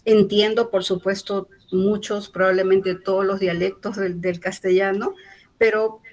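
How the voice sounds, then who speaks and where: A woman's voice: medium pitch, little pitch movement, unhurried, darkish tone, rough recording. A woman from Peru with a Lima accent, giving a university lecture in an explanatory way, often checking in with the audience through tag questions. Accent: Lima Spanish.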